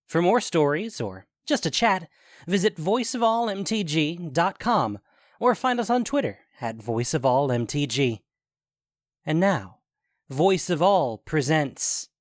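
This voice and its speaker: A man narrating a scripted fantasy story in a measured, deliberate, dramatic way. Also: a little high, no room sound, clean studio sound. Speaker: narrator and host of an audio fiction show.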